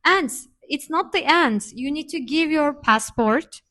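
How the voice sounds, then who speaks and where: Woman, slightly high voice. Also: expressive, unhurried, pushed and bright. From Turkey. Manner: explanatory, many hesitation fillers. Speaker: co-host. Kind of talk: casual chat.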